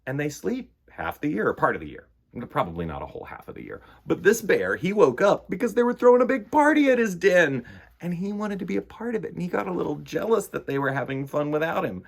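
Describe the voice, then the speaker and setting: A man's voice: mid-range voice, gravelly, husky. A man hosting storytime for children, reading and talking to young listeners in a playful, theatrical way. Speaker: children's storytime host and reader. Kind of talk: picture book reading and chat to children.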